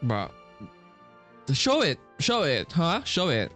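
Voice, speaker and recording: Slow, halting man commentating casually on a game. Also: on the high side, wildly swooping pitch, a bit bright. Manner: halting, rambling, slangy. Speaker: game streamer. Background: video game music.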